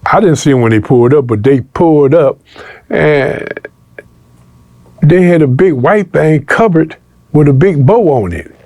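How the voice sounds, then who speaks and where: A man's voice: fairly high pitch, unhurried, long pauses, no room sound. A man telling a story casually in an interview, slangy and streetwise, acting out the dialogue as he goes. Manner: animated retelling, acting out quoted dialogue.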